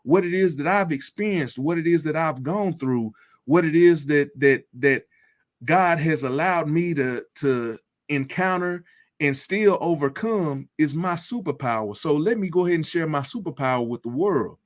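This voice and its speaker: A man telling his own comeback story as a motivational speaker, earnest and measured, repeating key phrases. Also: on the high side, lively intonation. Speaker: guest speaker.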